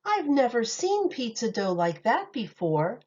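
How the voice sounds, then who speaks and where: Storybook part: a woman playing a cheerful little girl, high and bright, unhurried, slightly dull recording. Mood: excited. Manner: bright, excited exclamations.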